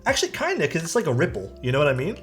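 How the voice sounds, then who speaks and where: A man's voice: fairly high pitch, wildly swooping pitch, racing, fairly bright tone, soft background music. A young man hosting a livestream, chatting casually and rambling to his viewers from a small rented room. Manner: rambling, easygoing, talks to chat. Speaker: livestream host building keyboards. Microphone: streaming mic.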